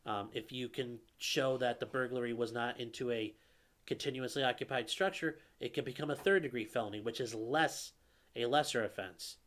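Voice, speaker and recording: A man's voice: medium pitch, normal speed, fairly bright tone. A man, a lawyer hosting a live stream, answering viewer questions in a casual, rambling way with fillers and restarts. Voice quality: calls his own voice nasally; a viewer calls it velvety.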